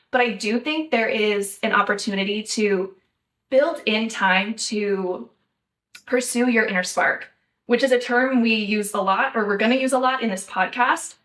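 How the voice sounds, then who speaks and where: A young woman from the United States hosting a podcast, talking in a measured, thoughtful way with light laughs. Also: medium pitch, fairly bright tone, recorded from a distance. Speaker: podcast host. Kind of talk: casual podcast chat.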